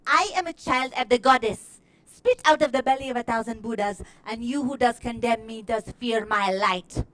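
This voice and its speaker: A woman's voice: fairly high pitch, moderate pace, a bit bright, close to an everyday voice. A woman comedian voicing a vain, snippy new-age diva guru in a grandiose manner with a put-on, hard-to-place accent. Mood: boastful, haughty. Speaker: comedy performer. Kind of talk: character comedy monologue.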